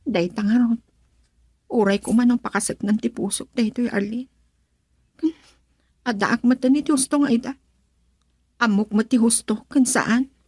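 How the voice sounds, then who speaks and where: Headstrong young bride-to-be, husky-voiced woman acting. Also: on the high side, steady tone, unhurried, pauses often, darkish tone, dry recording. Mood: insistent. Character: headstrong young bride-to-be defying her father. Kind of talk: Ilocano radio drama acting.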